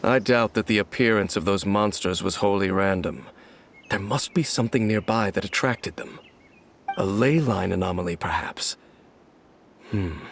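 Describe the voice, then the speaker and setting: A man voicing a stoic, aloof immortal warrior in a video game, speaking in a formal, measured and dry way. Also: lowish voice, background noise, natural voice. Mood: pensive.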